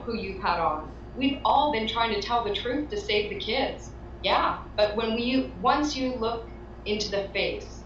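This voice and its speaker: A woman's voice: fairly high pitch, fairly bright tone. A woman giving earnest, emphatic testimony as an interview guest. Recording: echoey, distant, dull, faintly noisy.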